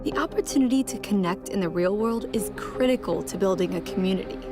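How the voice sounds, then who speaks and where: A woman's voice: mid-range voice, brisk. A woman reading scripted documentary narration in the role of narrator. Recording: music behind the voice.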